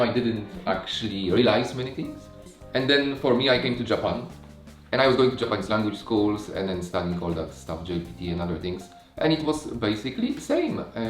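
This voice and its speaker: Non-native english guy chatting, keeps saying uh, roomy echo. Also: mid-range voice. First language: not English. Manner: animated, halting with many fillers. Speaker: language school manager. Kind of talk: casual conversational chat.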